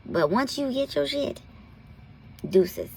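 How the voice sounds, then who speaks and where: Lively, gravelly American woman giving blunt advice. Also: sits high, takes it slowly, a little hiss or hum. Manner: blunt, profanity-laced, folksy terms of address. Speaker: health commentary host and nurse practitioner. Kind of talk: candid, informal advice monologue.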